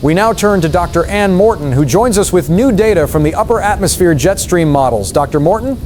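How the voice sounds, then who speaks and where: A man acting a grave, composed news anchor in an audio drama, measured and formal. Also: animated. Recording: faint rumbling noise.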